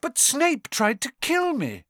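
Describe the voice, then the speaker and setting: A man's voice: high voice, very animated, a little slow, fairly bright tone, no echo, studio quality, pitched above the performer's own voice, exaggerated voice. A man voicing a young boy hero in an audiobook, earnest, incredulous and blurting out his words. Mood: shocked, disbelieving. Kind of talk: audiobook character acting.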